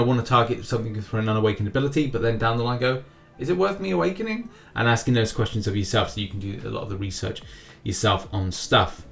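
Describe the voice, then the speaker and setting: A man's voice: medium pitch, gravelly, fairly bright tone. A man hosting a gaming news update for his viewers in a casual, chatty style with jokey asides and emphatic, sing-song delivery. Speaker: host of a mobile game news channel. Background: background music.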